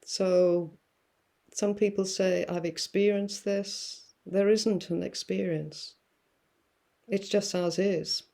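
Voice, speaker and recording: A woman guest musing through spiritual ideas, with many fillers and pauses. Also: mid-range voice, fairly even intonation, gravelly, dark tone. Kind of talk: reflective spiritual talk.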